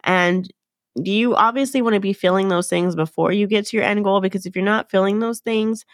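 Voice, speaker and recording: A woman's voice: mid-range voice, steady tone, fairly quick, dry recording, clean studio sound. Nasal-sounding woman with a cold. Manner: chatty and upbeat, fillers and self-corrections. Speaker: podcast host. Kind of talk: casual solo podcast talk.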